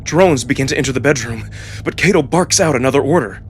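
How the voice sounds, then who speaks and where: A man giving a suspenseful, expressive first-person narration of a dramatic story. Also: mid-range voice, fast, a little hiss or hum. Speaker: narrator and voice performer. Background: background music.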